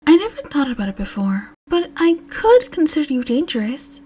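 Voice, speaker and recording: A woman's voice: sits high, very animated, moderate pace, warm tone, pitched above the performer's own voice. A woman voicing a confident, caring teenage girl in a narrated story. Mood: confident, reassuring.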